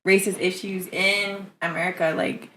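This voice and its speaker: A woman's voice: lowish voice, fairly even intonation, moderate pace, fairly bright tone, poor audio. A woman in her twenties co-hosting a casual podcast, talking playfully from a small room studio on a desk mic. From Canada. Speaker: podcast co-host.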